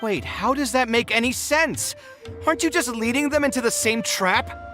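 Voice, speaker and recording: A principled young architect in a video game, exasperated and earnest. His delivery is dramatic and highly animated, full of reproach. Soft music runs underneath. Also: normal speed, exaggerated voice. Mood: reproachful, appalled. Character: idealistic, indignant young male architect.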